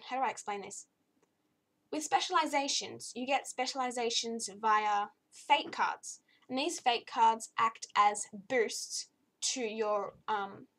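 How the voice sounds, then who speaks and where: A young woman with a slightly croaky voice, giving a chatty, easily exasperated video game review with lots of fillers. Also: fairly high pitch, fairly bright tone. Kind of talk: casual spoken game review.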